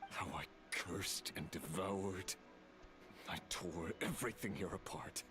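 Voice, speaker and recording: An actor playing a brooding, remorseful fallen villain in a video game, speaking slowly and solemnly with halting phrases. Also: male voice, deep voice. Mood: bitter regret. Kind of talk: dramatic video game dialogue. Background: music.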